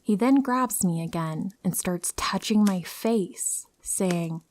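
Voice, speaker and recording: A woman narrating true horror stories in a calm, steady first-person reading. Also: medium pitch, slow pace.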